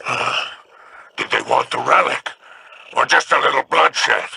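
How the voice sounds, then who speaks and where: An actor voicing a sly, scheming male alien crime lord in a video game, gravelly and wry with insinuating remarks. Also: mid-range voice, a little slow, bright, forceful tone, no echo, full character voice.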